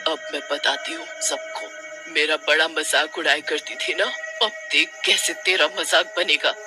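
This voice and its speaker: A man's voice: on the high side, steady tone, a little slow, over music, close to an everyday voice. A man voicing a vengeful female ghost witch, a wronged young wife, in a menacing, gloating way for a cartoon horror story.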